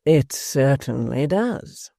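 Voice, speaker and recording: A man's voice: medium pitch, sing-song, slow pace, gravelly, dark tone, dry recording, clean studio sound, heavily acted. A man reading a storybook aloud, voicing a mild, ingratiating male secretary. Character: mild, sly male secretary, smoothly flattering.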